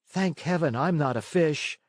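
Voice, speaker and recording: A man acts out a small marionette boy in a children's storybook, pitched well up. He is proud and plucky, speaking with earnest indignation. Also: a little slow, no room sound, clean studio sound. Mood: hopeful, nervous. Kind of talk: children's storybook read-aloud.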